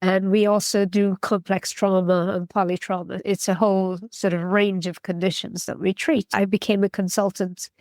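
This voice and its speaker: A woman's voice: mid-range voice, level tone, normal speed, hardly any pauses, darkish tone, dry recording. Dry-voiced woman surgeon explaining plainly. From Guyana. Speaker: orthopedic surgeon guest. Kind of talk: interview answers.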